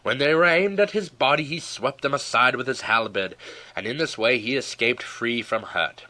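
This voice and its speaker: A man reading a historical tale as an audiobook narrator, with a measured, dramatic storytelling delivery. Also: mid-range voice, bright, forceful tone, poor audio.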